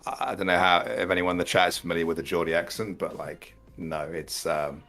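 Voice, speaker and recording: A man's voice: low voice, steady tone, a little fast, bright, forceful tone, soft background music. A British man co-hosting a live stream, chatting with wry, dry humor. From Britain. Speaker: co-host. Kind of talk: casual live stream chat.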